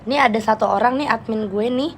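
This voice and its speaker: Chatty young woman with jakarta betawi slang, live stream chat, kinda high voice, faint hiss behind. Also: fast talker. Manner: chatty, playful, laughs often, switches registers.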